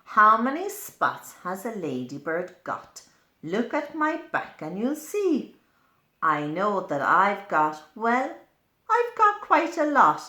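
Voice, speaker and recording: A woman's voice: mid-range voice, huge pitch swings, slow pace, sharp tone, full character voice. Woman as a bouncy, swooping storybook ladybird. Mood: playful, proud. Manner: playful, inviting the listener along. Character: proud ladybird showing off spots. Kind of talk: children's picture-book read-aloud. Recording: roomy, echoing indoor sound.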